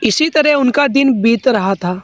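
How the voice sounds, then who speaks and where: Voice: medium pitch, expressive, unhurried. A narrator reading a scripted children's story.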